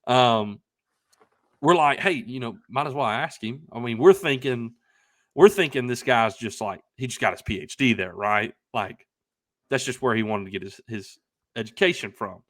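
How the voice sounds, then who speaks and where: A young man co-hosting a podcast, telling a casual anecdote in a rambling, emphatic, excitable way. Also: medium pitch, normal speed, no echo, clean studio sound. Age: young adult. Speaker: podcast co-host telling a story.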